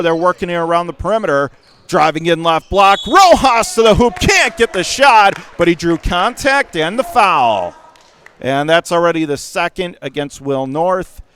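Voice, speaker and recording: A man calling high school basketball play-by-play for radio, rapid and rising to shouts on big plays. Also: smooth. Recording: radio broadcast call, ball bounces behind.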